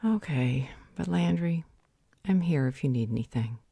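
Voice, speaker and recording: A woman playing a motherly healer in a roleplay audio drama, soft and fussing with a creaky, breathy voice. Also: low-pitched, lively intonation, normal speed, no room sound, clean studio sound, heavily acted. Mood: caring, concerned. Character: motherly female healer, caring but fed up.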